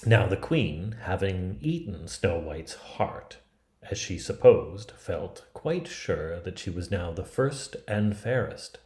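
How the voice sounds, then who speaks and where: Slow, lowish-voiced man reading a fairy tale. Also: sing-song, a little mellow, reverberant. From Canada. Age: 50s. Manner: deliberate, dramatic phrasing. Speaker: storybook reader.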